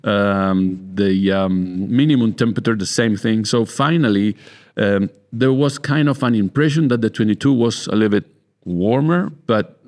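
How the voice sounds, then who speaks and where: Male host, slightly deep voice, casual interviewing with halting, word-repeating delivery. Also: a little mellow. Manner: halting, repeats words while searching for phrasing. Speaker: interviewer, winemaker.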